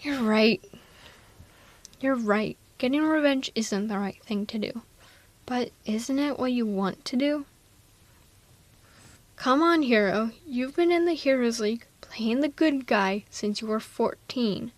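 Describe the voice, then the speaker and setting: A woman's voice: on the high side, slow pace, pauses often, no room sound. A woman playing a sardonic but protective villainess in an intimate ASMR audio roleplay, coaxing one listener in a wry, persuasive way. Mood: conspiratorial, goading.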